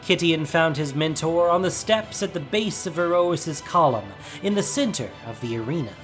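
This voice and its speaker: A man's voice: on the high side, animated, moderate pace, a bit bright. A man reading a scripted, dramatic story as its narrator. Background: music.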